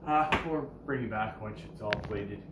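Low-voiced man cooking in an echoey kitchen. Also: normal speed. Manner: low-key, tired, self-deprecating. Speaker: home cook. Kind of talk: casual cooking demonstration. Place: home kitchen, indoors. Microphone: camera across the room. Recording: echoey kitchen with background noise.

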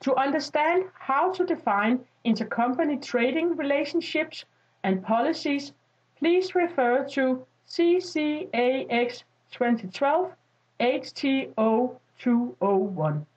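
Female tutorial narrator, notably high voice, scripted instructional read, slightly muffled lo-fi sound. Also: expressive, slow pace. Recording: dull lo-fi voice-over.

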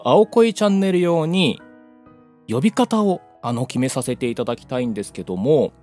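Lively man co-hosting a chat show. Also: mid-range voice, normal speed, faint music behind. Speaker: co-host of a voice actors' channel. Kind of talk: lively scripted-then-chatty hosting with a guest.

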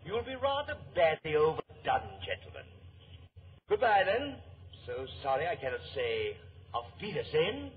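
A suave saboteur in a 1940s radio thriller. He gloats with mock courtesy and lively, swooping pitch, slightly high. The old recording is narrow and noisy. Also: moderate pace. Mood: mockingly polite menace. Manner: mockingly courteous, theatrical. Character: suave, gloating German saboteur villain, menacingly polite. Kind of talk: 1940s radio mystery acting.

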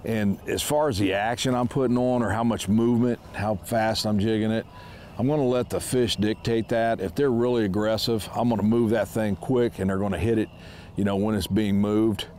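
A man's voice: on the low side, fairly even intonation, normal speed, dark tone, a little hiss or hum. A man in his fifties hosting a fishing show, casually explaining his technique as he fishes from a boat on a lake. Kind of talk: casual instructional talk while fishing. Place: small boat on a lake outdoors.

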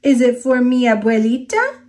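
Woman as curious little girl, American accent, storybook character, high voice, earnest, questioning, lively intonation. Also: moderate pace.

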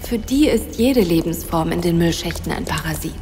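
A woman playing a resourceful young woman who talks quietly and often whispers to stay hidden. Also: on the low side, lively intonation, normal speed, slight background noise, close to an everyday voice. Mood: hushed. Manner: hushed, practical, often whispering.

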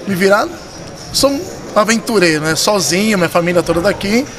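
A man's voice: high-pitched, expressive, fairly quick, noisy background. A man in his thirties with a São Paulo Brazilian accent, telling stories casually and animatedly in a podcast interview, slangy and repeating words for emphasis. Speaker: podcast guest, poker dealer and tournament director. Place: poker club room, indoors. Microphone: vintage-style desk microphone. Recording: busy room crowd murmur behind.

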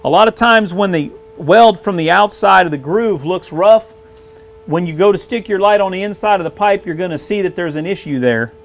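A middle-aged man, a weld test supervisor, explaining a visual weld inspection in a shop and often clearing his throat. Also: high voice, moderate pace. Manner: frequent throat clearing, plain-spoken explaining. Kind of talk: instructional demonstration. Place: indoor welding shop.

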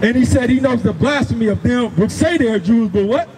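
Male street preacher, very high pitch, insistent and repetitive delivery, noisy open-air recording. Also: brisk, in a large hall. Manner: emphatic, repeats phrases, commands a reader. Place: outdoors on a grassy housing-project lawn. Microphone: handheld microphone through a portable speaker.

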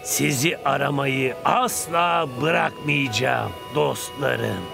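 An actor voicing a boastful, melodramatic soccer player in a dubbed cartoon, theatrical and grandiose. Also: male voice, medium pitch, takes it slowly, vocal fry, loud music behind the voice. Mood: self-sacrificing.

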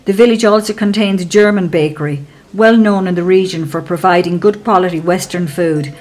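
A woman giving calm, measured, scripted narration for a travel documentary as a voice-over. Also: fairly low pitch.

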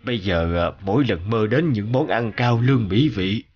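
A man narrating the words of a reflective older businessman, a war veteran recalling hardship in a measured, reminiscing way. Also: fairly low pitch, husky, muffled recording.

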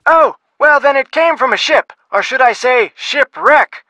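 Cranky folksy shipwreck researcher, bright sharp tone, dry sound. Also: male voice, extremely high pitch, animated, a little slow, dry recording, full character voice. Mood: gruff. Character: gruff folksy older male shipwreck researcher. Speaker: phone contact in a game.

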